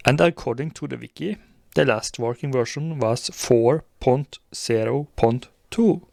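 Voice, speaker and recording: Gravelly man narrating a tutorial. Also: mid-range voice, darkish tone, dry recording, clean studio sound. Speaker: tutorial presenter. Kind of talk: step-by-step software tutorial narration.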